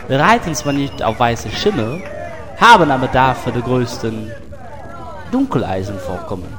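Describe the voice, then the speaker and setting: A man's voice: mid-range voice, lively intonation, moderate pace, music in the background, natural voice. A man voicing a boisterous, mocking dwarf carnival crier who declaims in rhymes for a comic audio drama. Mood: boastful. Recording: echoing hall with crowd noise.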